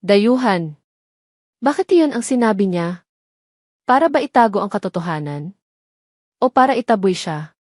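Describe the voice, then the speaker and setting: A woman's voice: medium pitch, animated, moderate pace, long pauses, a little mellow, no room sound, studio quality, close to an everyday voice. A woman narrator voicing an earnest, pleading male doctor in a dramatic audio story. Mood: puzzled. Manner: pleading, intent.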